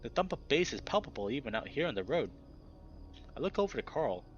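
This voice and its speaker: A man's voice: medium pitch, sing-song, a little fast, muffled recording, soft background music. A man reading first-person visual novel narration in a dramatic, scripted storytelling style.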